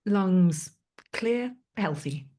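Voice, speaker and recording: A woman's voice: medium pitch, lively intonation, very slow, warm tone. An actress playing a matter-of-fact female pathologist, clipped, detached and businesslike in a stage play. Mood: clinical, detached.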